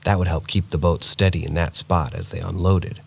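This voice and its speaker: A man narrating true paranormal stories in a steady, somber reading voice. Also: deep voice, normal speed, gravelly, husky.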